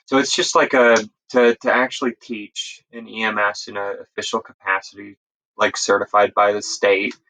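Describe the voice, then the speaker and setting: A man's voice: fairly low pitch, little pitch movement, normal speed, pushed and bright, poor audio. An American man telling his story as an interview guest in a casual, rambling, self-correcting way. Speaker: interview guest, EMS instructor.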